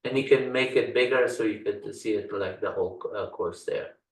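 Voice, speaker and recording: An older Dari-speaking man walks through a software tutorial in a halting way, stopping often on "uh". His voice sits a little low, and it sounds roomy and set back from the microphone. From Afghanistan. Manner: halting, frequent "uh" fillers, folksy. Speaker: computer science instructor. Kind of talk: screen-share software tutorial walkthrough. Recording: roomy sound, distant from mic.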